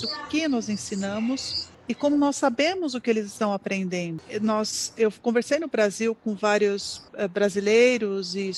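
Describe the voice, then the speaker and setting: A simultaneous interpreter renders a lecture live, halting often and restarting words to keep up. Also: medium pitch. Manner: halting, frequent restarts and repeated words while keeping up with the speaker. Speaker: simultaneous interpreter at an education conference talk.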